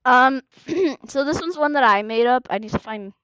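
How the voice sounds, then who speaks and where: A young woman hosting a casual fan chat on a voice call, bantering excitably and full of opinions. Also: high-pitched, moderate pace, smooth. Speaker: host of a fan ship-rating stream. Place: indoors on a Discord call. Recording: dull, muffled voice-call audio.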